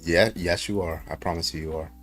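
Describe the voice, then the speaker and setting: A man's voice: low-pitched, fairly even intonation, a little fast, fairly bright tone, faint music behind. A man in a heated online debate, pressing his opponent with repeated questions and rhetorical tags.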